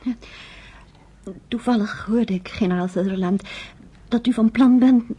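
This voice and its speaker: Female for reserved, hesitant woman, radio drama; mellow tone with very husky, breathy texture, courteous delivery. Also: a little high, measured pace. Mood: hesitant, confiding. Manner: hesitant, polite. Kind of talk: Dutch radio drama acting.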